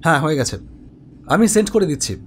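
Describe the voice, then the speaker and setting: A man's voice: a little high, sing-song, fast, a little hiss or hum. A man playing an office web developer who narrates his own ghost story in a dramatic, suspenseful way. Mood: conversational. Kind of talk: scripted first-person horror story narration. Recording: music behind the voice.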